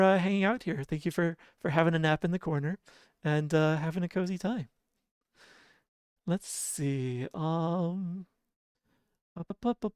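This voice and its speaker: A game streamer with a sleepy-sounding voice, chatting casually and softly with viewers, often chuckling and humming idle filler tunes. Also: mid-range voice, clear, resonant tone, no room sound, clean studio sound. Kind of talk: casual livestream chat.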